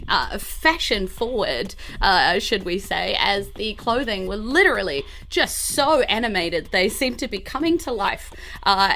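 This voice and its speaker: A woman with a New Zealand accent, chatting as host and game master of a live tabletop show in a bubbly, expressive way. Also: medium pitch.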